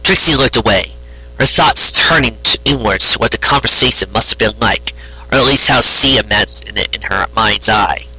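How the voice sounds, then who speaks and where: A man narrating a scripted story aloud in a rough, husky voice. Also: fairly low pitch, normal speed, bright, forceful tone, soft background music, rough recording. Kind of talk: scripted fan fiction narration.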